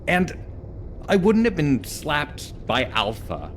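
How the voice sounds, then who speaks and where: An irritable, sarcastic game scientist with a high male voice that swings theatrically up and down. He is dismissive and moody, drawing his words out slowly to needle the listener. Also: wildly swooping pitch, slight background noise, full character voice. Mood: smug, amused. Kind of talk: video game cutscene acting.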